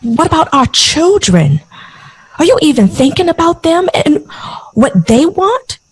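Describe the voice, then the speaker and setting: A woman acting a weary, worried wife and mother in an audio drama, with a breathy, husky voice. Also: high-pitched, very animated, measured pace, rough recording. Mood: anxious, pleading.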